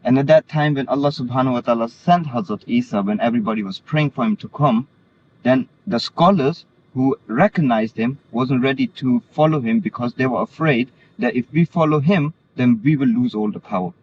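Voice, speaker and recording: A man from Denmark speaks earnestly in a middle-pitched voice, pausing and restarting as he explains himself. The recording is slightly dull, with a faint hiss underneath. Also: a little mellow. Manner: earnest, hesitant with many restarts. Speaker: interviewee. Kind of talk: casual conversation.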